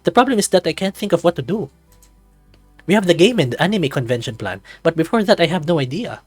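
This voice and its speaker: Man acting young male game character, caring, earnest, slightly raised pitch, dry recording, background music. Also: dry recording.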